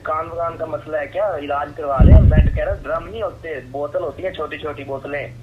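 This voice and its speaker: A man's voice: a little high, fairly even intonation, fairly quick. A man with a Pakistani accent working as a medicine supplier, talking on the phone in an exasperated, sarcastic way. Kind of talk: phone conversation. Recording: dull, noisy phone line.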